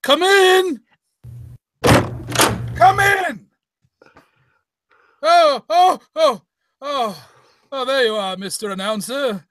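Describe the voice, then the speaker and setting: A man playing a genial, doddering older gentleman in a comic old-time radio play, stammering and repeating himself. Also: extremely high pitch, extremely slow, pauses often, no echo, heavily acted. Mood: flustered, genial. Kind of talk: old-time radio acting, played for laughs.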